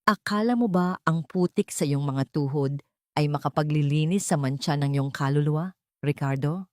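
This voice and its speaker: A woman's voice: low voice, moderate pace, darkish tone, dry recording, lightly acted. Narrator woman as a cold female surgeon, authoritative, scornful, cutting, controlled, audio story drama, dry sound.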